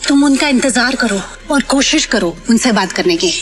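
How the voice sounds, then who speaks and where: A woman's voice: a little high, animated, normal speed, a bit bright, faint music behind. A woman playing a firm, protective and sympathetic office staffer in an audio drama, speaking assertively and plainly. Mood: confiding, practical. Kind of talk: Hindi audio drama acting.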